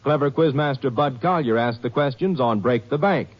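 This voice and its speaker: A man's voice: medium pitch, moderate pace. A man reading an upbeat, polished 1950s network promo. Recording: dull old radio transcription.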